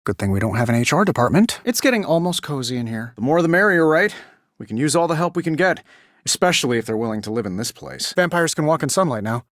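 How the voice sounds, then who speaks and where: Cocky, animated wisecracking superhero inventor. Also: male voice, on the high side, dry recording, studio quality. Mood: wry. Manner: sarcastic, glib, quick with quips. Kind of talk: video game character voice lines.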